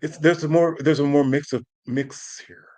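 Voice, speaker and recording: An older man from the United States, a guest in a casual interview, speaking haltingly with many restarts. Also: fairly high pitch, steady tone, darkish tone. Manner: halting, frequent restarts and "you know".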